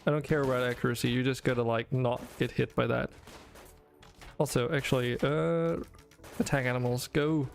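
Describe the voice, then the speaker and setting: A man's voice: medium pitch, steady tone, normal speed, gravelly, soft background music. A young Danish man chatting to his viewers while streaming a game, rambling with many fillers and restarts. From Denmark. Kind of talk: casual game stream talk to chat.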